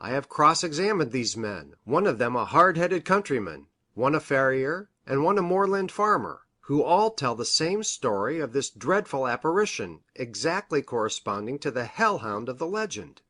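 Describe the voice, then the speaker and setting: A woman's voice: rumbling low, sing-song, moderate pace, few pauses, fairly bright tone, full character voice. A woman voicing a grave, hesitant male country doctor in a storybook reading, formal and precise in manner. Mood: troubled, grave. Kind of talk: audiobook character reading.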